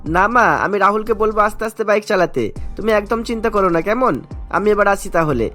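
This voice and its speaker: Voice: mid-range voice, fairly quick, pushed and bright, faint music behind, natural voice. A performer voicing an affectionate son in a cartoon, speaking in an earnest, coaxing way. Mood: happy, reassuring. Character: earnest, affectionate son, child then young man. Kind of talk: Bengali cartoon voice acting.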